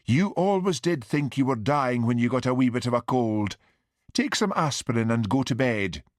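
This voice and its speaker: Man voicing a high-pitched plaintive Highland mother. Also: lively intonation, no echo, studio quality. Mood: coaxing. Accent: Scottish Highland. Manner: plaintive, coaxing, brisk. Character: busy, plaintive, coaxing Highland Scottish mother. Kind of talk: audiobook character dialogue.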